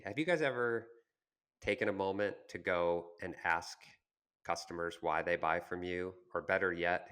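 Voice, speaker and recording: A man with a low, deep voice talks as co-host of a business podcast. His delivery is conversational and explanatory, built around examples from experience. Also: a bit bright, clean tone. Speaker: podcast co-host discussing marketing.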